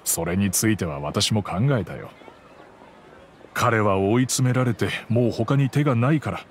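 Low-voiced, fatherly gentleman. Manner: measured, gentle, reflective. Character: middle-aged wealthy master of a house, fatherly, composed, remorseful. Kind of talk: anime game voice acting. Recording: soft music behind the voice.

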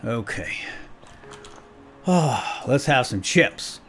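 A man with a mid-pitched, very animated voice that rises and falls freely as he narrates a video game. He chats casually and playfully, half to himself, with mild folksy exclamations. Music plays behind him. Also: very slow. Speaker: gaming YouTuber, let's-play host. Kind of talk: casual let's-play commentary with light role-play.

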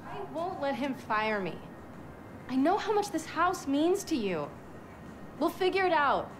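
An actress playing a supportive young girlfriend in a video game, frank and soft in manner. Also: high voice, sing-song, unhurried, a little hiss or hum. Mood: reassuring. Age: young adult. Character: supportive, independent young girlfriend, gently teasing. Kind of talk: naturalistic game cutscene acting.

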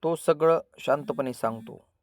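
A man tells a children's story as a scripted narrator. His voice sits at a middle pitch, and he sets out short phrases with measured drama. The recording is dry and close. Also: no echo.

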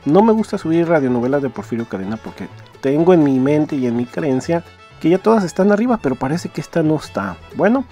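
A man's voice: on the high side, very animated, quick pace, mellow, soft background music. A man hosting a podcast, chatting casually and rambling as he asks himself questions. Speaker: podcast host introducing radio serial uploads. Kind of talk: casual unscripted chat.

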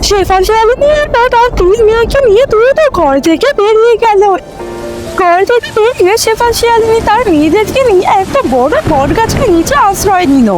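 A woman's voice: very high-pitched, lively intonation, fairly quick, low-quality recording. A woman narrating a cartoon story in a plain storytelling manner, reading all the parts herself. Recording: loud background music.

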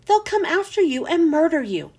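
A woman's voice: very high voice, sing-song, moderate pace, darkish tone, heavily acted. A woman voicing a greedy, pushy schoolgirl who coaxes and makes dramatic warnings in a storybook reading. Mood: scheming.

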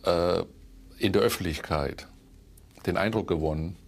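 A man speaking unscripted as an interviewee, haltingly searching for his words. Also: deep voice, vocal fry, slightly rough. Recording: faint ambient background noise.

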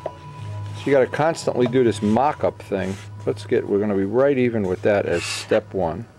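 A man's voice: lowish voice, normal speed, creaky, darkish tone, faint music behind, rough recording. A middle-aged man giving an informal hands-on workshop tutorial, thinking aloud in short, explanatory phrases. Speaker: model airplane builder giving a tutorial. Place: indoor workbench.

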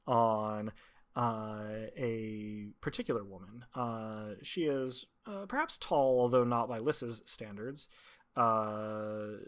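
Man narrating a tabletop game, halting delivery. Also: mid-range voice, fairly bright tone. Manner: halting, measured descriptive phrasing. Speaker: game master.

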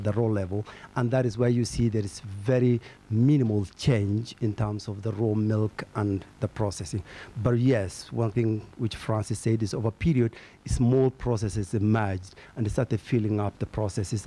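A man's voice: lowish voice, warm tone, slight background noise. A middle-aged man making a long, discursive, hedging comment as a participant in a conference discussion. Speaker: conference participant asking a question. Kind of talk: extended question and comment at a panel discussion. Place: indoor conference meeting room. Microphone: handheld microphone.

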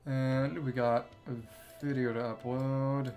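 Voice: medium pitch, flat delivery, slow, a little mellow. A game streamer chatting casually with viewers and reading game dialogue in clipped, musing fragments. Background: game music.

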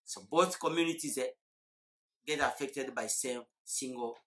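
A man's voice: mid-range voice, a little slow, a bit bright. A man in his forties from eastern Congo, explaining at length and thoughtfully in an oral history interview, with hesitant fillers, recorded indoors on a lapel mic. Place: indoor room.

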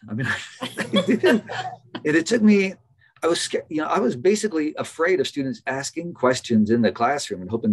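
A middle-aged man, a biologist, talking casually as an interview guest over a video call from his office, relaxed and laughing often. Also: on the high side. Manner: relaxed, chatty, laughs often, some restarts. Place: office with bookshelf. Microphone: video call webcam.

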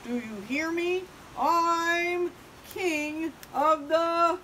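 Lady doing big bossy storybook prairie dog guy, loud barky delivery, raised pitch, faint hiss. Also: expressive, slow, heavily acted. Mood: boastful, snarling. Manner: barking, snarling, declamatory. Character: Big Bark, boastful loud male prairie dog bully turned watchdog. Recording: hissy background noise.

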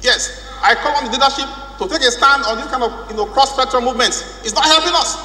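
A man's voice: very high voice, fast, sharp tone. A man with a Nigerian accent giving a formal, emphatic speech as a lawmaker, repeating phrases for weight. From Rivers State, Nigeria. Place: large indoor legislative chamber. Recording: echoey hall with hum.

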